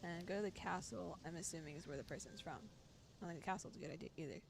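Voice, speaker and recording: A woman's voice: on the low side, racing, creaky. A woman chatting casually on a livestream in a playful, giggly manner. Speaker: streamer playing a visual novel. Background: music.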